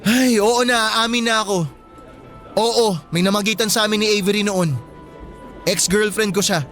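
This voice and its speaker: A man's voice: high voice, fairly even intonation, moderate pace, fairly bright tone. A man acting an earnest, disapproving young boyfriend in a radio drama, with a Filipino accent and an insistent, emotional delivery. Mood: apologetic. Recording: music bed behind the voice.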